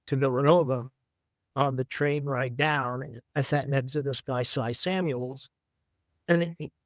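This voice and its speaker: An older man from Brooklyn, a former runner and coach, telling stories in an interview in an unhurried, fond way with many fillers. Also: mid-range voice, dry recording. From Brooklyn, New York. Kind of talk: reflective storytelling.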